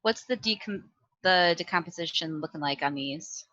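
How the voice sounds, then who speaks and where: A woman's voice: lowish voice, normal speed, pushed and bright, no room sound, highs cut off. A woman playing a tabletop role-playing game, chatting casually in an eager, confident way. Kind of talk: casual in-game chat with friends.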